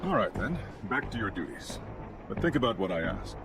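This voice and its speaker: A man playing a falsely friendly senior colonel in a video game, measured, courteous and quietly probing. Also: on the low side, sing-song. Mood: veiled pressure. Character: smooth, insinuating senior colonel, falsely friendly older officer. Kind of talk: video game cutscene acting. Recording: loud music behind the voice.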